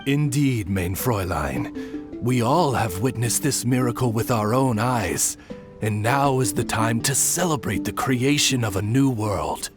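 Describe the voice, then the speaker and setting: A solemn raven servant, voiced by a man, slow and stately. He is courtly and grandiose, in game-dub style over clear music. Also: mid-range voice, close to an everyday voice. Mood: solemnly proud. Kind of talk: English game dub acting.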